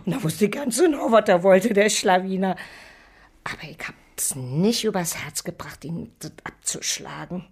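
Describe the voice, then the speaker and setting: A woman's voice: medium pitch, expressive, normal speed, darkish tone, husky. A woman in a radio drama playing a chatty, motherly, easily indignant middle-aged landlady, gossiping effusively and theatrically quoting people. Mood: confiding, indignant.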